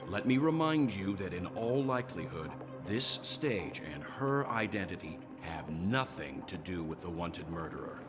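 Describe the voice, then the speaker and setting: An actor playing a calm, wise middle-aged mentor and leader in a video game, composed and deliberate in his phrasing. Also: low-pitched. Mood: firm. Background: music.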